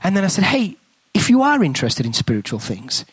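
A man's voice: high voice, huge pitch swings, brisk, husky, no room sound. A man with an English accent preaching as a guest speaker, telling a story in a chatty, conversational way. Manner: conversational storytelling, drops to a hushed whisper in prayer. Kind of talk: sermon with a told story.

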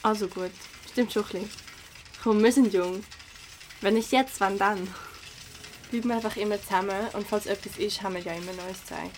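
A woman's voice: mid-range voice, steady tone, brisk, a little mellow, slight background noise, natural voice. A young woman playing a cautious but adventurous teenage girl in an amateur radio drama, speaking in casual youth slang. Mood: agreeing. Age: young adult.

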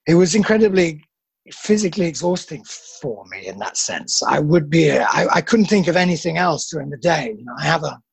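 A middle-aged film actor with a husky voice, answering interview questions in a self-deprecating, halting way. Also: male voice, fairly high pitch, animated, no echo.

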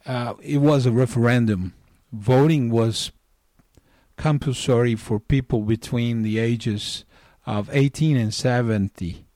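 A middle-aged man from northeastern Brazil, a native Portuguese speaker with a Brazilian accent, giving prepared commentary as a caller in a deliberate, measured way. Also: medium pitch, warm tone, no echo, studio quality. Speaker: listener calling in.

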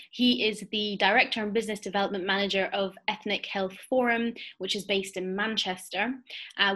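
A woman's voice: mid-range voice, fairly bright tone. Female podcast host, conversational interviewer with a mid-range voice. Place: remote online call.

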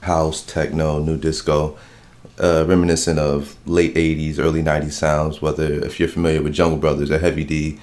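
Brief: deep, low-pitched man, easygoing guest, loose rambling delivery, lots of fillers. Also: normal speed. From New Jersey. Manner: relaxed, rambling, many "like" fillers. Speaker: rap performer, interview guest. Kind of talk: casual interview talk. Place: home dining room.

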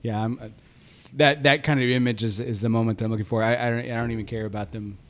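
A man's voice: medium pitch, fairly even intonation. A man in his thirties co-hosting a podcast, chatting casually with lots of restarts and fillers. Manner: halting, many fillers and restarts, friendly. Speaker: podcast co-host.

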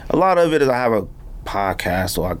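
A man with a fairly low voice and animated intonation talks as a podcast host. He is casual and slangy, rambling through stories and questions. Faint noise sits behind him. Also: quick pace. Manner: informal, slangy, rambling storytelling. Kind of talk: casual conversational interview. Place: podcast studio, in-person conversation.

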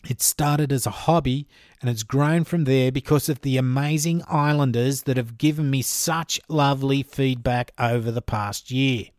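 A man's voice: medium pitch, moderate pace, no echo, studio quality. Chatty Australian man hosting a podcast. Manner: chatty and informal, addresses listeners by name. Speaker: podcast host. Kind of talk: solo podcast reading listener questions.

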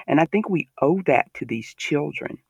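A man's voice: fairly high pitch, animated, normal speed, breathy edge, no room sound. A man with a Southern American accent, talking earnestly and with emphasis in a podcast discussion.

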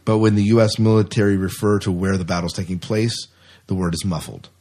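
A man's voice: low voice, steady tone, fairly quick, a little mellow. A man co-hosting a podcast, chatting casually about news and repeating himself for emphasis. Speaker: podcast co-host.

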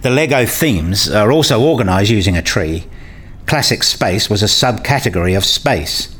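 A man playing a keen, inquisitive learner in a radio play, questioning and candid, quick to challenge what he is told. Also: fairly low pitch, expressive, fairly bright tone. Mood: questioning, puzzled.

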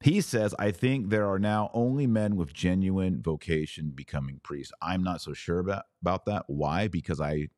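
Deep-voiced male podcast commentator. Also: darkish tone, no echo, studio quality. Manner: emphatic, informal asides. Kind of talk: news commentary monologue.

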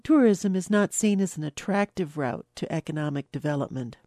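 A woman interviewer narrates and asks questions in a measured way. Her voice is on the low side and mellow, recorded dry with little room sound. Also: lively intonation, no room sound.

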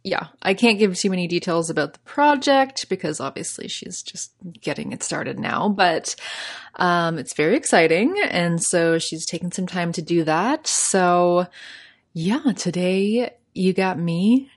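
A woman's voice: fairly low pitch, expressive, a little slow. Chatty Canadian woman podcaster. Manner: chatty, laughs often, many fillers. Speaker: podcast host. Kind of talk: solo podcast commentary.

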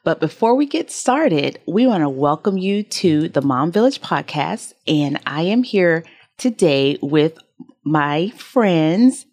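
A woman's voice: low-pitched, sing-song, takes it slowly, darkish tone. A woman hosting a casual podcast, introducing and questioning a guest with enthusiasm. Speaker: podcast host.

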